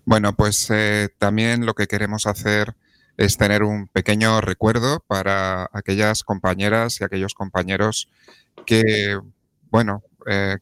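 A man's voice: on the low side, a bit bright, clear, resonant tone, no echo. A man co-hosting and presenting a community radio programme. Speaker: radio co-host.

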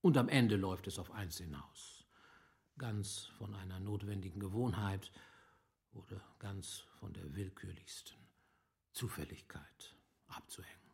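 An actor playing a worldly, resigned older gentleman guest in a radio play, speaking in a measured, reflective way with a creaky voice. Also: deep voice. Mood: philosophical, contented. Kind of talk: literary radio play dialogue.

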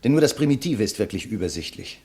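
A condemned man of letters in a 1950s German radio play. His voice sits fairly low, and he speaks in measured, eloquent sentences. He is composed, with a bitter, sardonic irony. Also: big pitch swings, darkish tone. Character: condemned male writer, a widower, composed and bitterly ironic.

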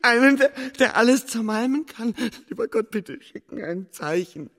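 An actor playing a frightened, broken boy king in a radio play, halting, pleading and repeating himself obsessively. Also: very high-pitched, lively intonation, a bit bright. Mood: desperate praying. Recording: long reverberant hall.

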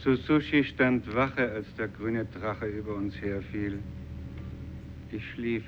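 A poor, plain-spoken fisherman telling his story in a radio play. His voice is low and earnest. The recording is dull with faint noise. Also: steady tone, takes it slowly. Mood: somber. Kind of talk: radio drama narration in character.

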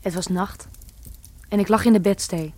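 A woman's voice: medium pitch, moderate pace, dark tone, lightly acted. A woman voicing an island woman recalling her youth in a radio play, speaking earnestly. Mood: recounting. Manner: earnest, recounting.

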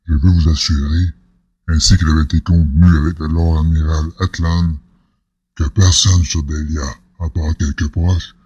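A man acts out a solemn, courteous leader of a planet in an audio space opera. The voice is dark and evenly pitched, with formal, measured phrasing. The sound is dry and somewhat muffled. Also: dry recording, heavily acted. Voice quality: very deep, husky, rasping. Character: grave, courteous male head of state in space opera. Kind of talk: science-fiction audio drama acting.

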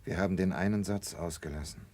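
An actor playing a rueful, resigned gentleman juror with a wry manner in a 1960s German radio drama. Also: fast, close to an everyday voice. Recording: old recording with faint low rumble.

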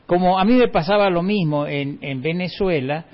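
A man's voice: high voice, moderate pace. A middle-aged man from Córdoba with a slight Cordoban Argentine accent, hosting a radio show and telling wry anecdotes in a casual chat. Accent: Cordoban Argentine Spanish, slight. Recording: dull lo-fi radio recording.

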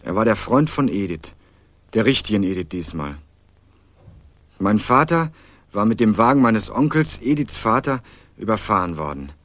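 A young student sleuth narrating a radio crime play, dry ironic tone, lowish voice. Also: male voice, very animated, moderate pace, pauses often, fairly bright tone, no room sound. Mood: wry. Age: young adult. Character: young male student turned amateur sleuth, wry and dogged. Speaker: narrator and protagonist. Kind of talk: radio crime drama, first-person narration.

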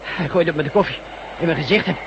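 A male actor playing an agitated soldier reporting to his chief in a science-fiction radio drama, hurried and subordinate. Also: on the high side, lightly acted. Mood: flustered. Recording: muffled recording with loud outdoor noise effects.

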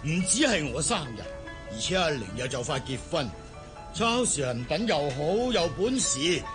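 A man playing a jovial, proud middle-aged father in a Cantonese radio drama, with a hearty, unhurried manner. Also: a little high, sing-song. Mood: proud, happy. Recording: music clearly under the voice.

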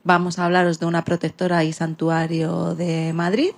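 A woman's voice: on the low side, completely flat delivery, moderate pace, creaky. A woman from informally introducing a section of a radio programme.

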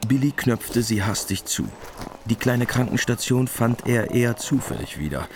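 A man's voice: mid-range voice, animated, moderate pace, noisy background. A man narrating a radio play in a matter-of-fact, scripted style.

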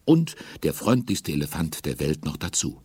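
A male actor playing the friendly narrator of a children's audio play, addressing young listeners in a gentle storytelling manner. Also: rumbling low, very animated, normal speed, breathy edge, no echo, heavily acted. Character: friendly storyteller of a children's talking-elephant series.

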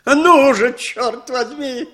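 An actor playing a hearty, needling boss in a 1960s Soviet radio play. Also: male voice, very high voice, extremely slow, sharp tone, full character voice. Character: Norton, forceful, hearty boss greeting an old acquaintance.

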